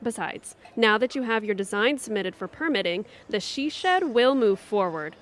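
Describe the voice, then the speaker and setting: An actress playing a supportive, sensible grown daughter in a comic audio drama, conversational and reassuring. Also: female voice, mid-range voice, expressive, normal speed, natural voice.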